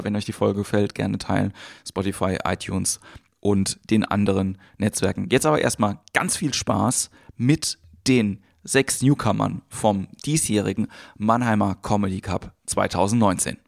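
A man's voice: on the low side, gravelly, no echo, clean studio sound. A man hosting a podcast, talking in a chatty way with many fillers. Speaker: podcast host. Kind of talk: podcast intro and interviewing.

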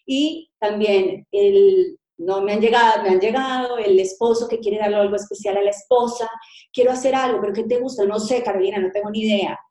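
A middle-aged woman born in Colombia, a cake designer, telling her story in a lively conversational way and acting out little dialogues. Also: medium pitch, brisk, reverberant. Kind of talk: conversational interview.

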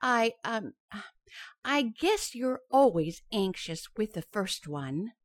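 A woman's voice: fairly high pitch, very animated, slow, no room sound, clean studio sound. An actress playing an anxious, grateful young expectant mother in an old-time radio sitcom, sweet and hesitant.

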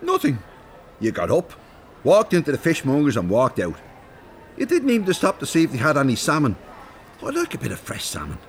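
A man's voice: medium pitch, wildly swooping pitch, a little fast, pauses often. Cheeky older Irish male rogue for radio drama; hugely varied intonation, faint noise behind. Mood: amazed. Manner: colloquial, teasing, swears mildly. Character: chatty, cheeky older Dublin chancer, male. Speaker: actor in an audio drama.

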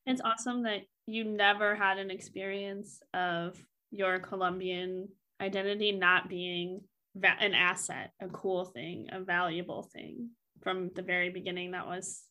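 A woman's voice: lowish voice, level tone, a little slow, bright, forceful tone. A woman interviewing a guest in a conversational way, reacting with enthusiastic, affirming remarks.

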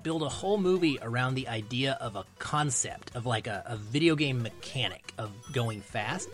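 A man's voice: mid-range voice, fairly bright tone. A man around his thirties or forties talking casually as a podcast guest, explaining his film opinions in an analytical, emphatic way.

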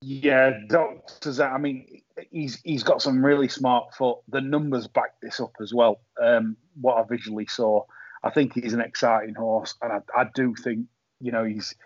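A man's voice: medium pitch, little pitch movement, moderate pace, no echo, dull audio. A man hosting a horse racing podcast, chatting casually with lots of fillers and repeated words. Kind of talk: casual tipping chat.

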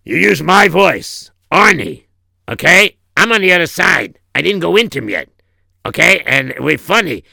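Guy putting on a big tough bodyguard, plain-spoken and earnest, punchy forceful voice, comic story. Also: fairly high pitch, big pitch swings, normal speed, gravelly, dry recording, clean studio sound, voice pushed up, exaggerated voice. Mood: annoyed, complaining. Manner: blunt, hesitant, plain-spoken. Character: Arnie, muscular male professional bodyguard, blunt and earnest.

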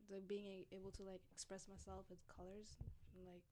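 A woman's voice: medium pitch, flat delivery, a little fast, dark tone, slight background noise. A young woman from Sri Lanka, a painter, answering interview questions in short, casual replies full of "like". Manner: short casual answers, says "like" a lot, giggles. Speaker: guest painter.

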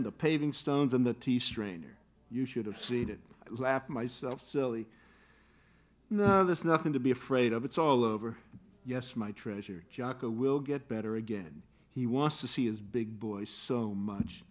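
A man's voice: medium pitch, moderate pace, natural voice. A man reading the part of a fretful, doting wife and mother in a staged play reading, pleading and emotional.

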